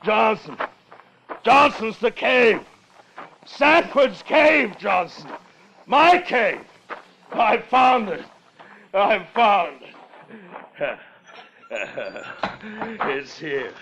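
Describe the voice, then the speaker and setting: An actor playing a gruff sea captain driven mad by gold and fever, with harsh barking lines in 1940s radio acting. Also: male voice, high-pitched, big pitch swings, very slow, long pauses, a little hiss or hum, exaggerated voice, poor audio. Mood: frantic. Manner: gruff, turning to manic shouting. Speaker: narrator and lead.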